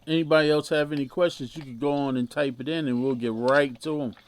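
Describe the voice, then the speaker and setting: A man's voice: a little high, fairly even intonation, fast. A man hosting a radio interview, giving short affirmations and passing on questions from the audience. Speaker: radio show host.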